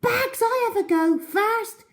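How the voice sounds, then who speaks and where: A woman voicing eager, excited small schoolchildren in a children's storybook, with a squeaky manner. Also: slow pace. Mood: eager excitement.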